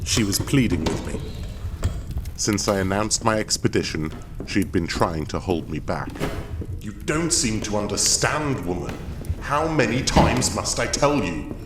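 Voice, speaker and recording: An actor playing a proud, bitter, obsessed expedition leader and husband, delivered as grandiose, theatrical first-person audio drama narration. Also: male voice, lowish voice, expressive, unhurried, background noise. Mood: brooding, haunted, then angry, defiant.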